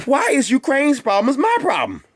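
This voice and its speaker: Man, channel host, heated profane rant, rhetorical questions, high voice, swinging pitch. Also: wildly swooping pitch, normal speed. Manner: heated and emphatic. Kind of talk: ranting monologue to viewers.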